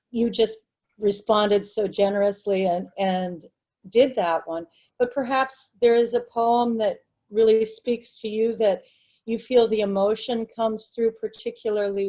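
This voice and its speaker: Muffled lo-fi video call audio carries a woman's mellow voice. She speaks slowly and chooses her words with care. Her manner is polite, as a participant in a discussion. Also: mid-range voice, steady tone. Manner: courteous, careful. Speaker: book club participant.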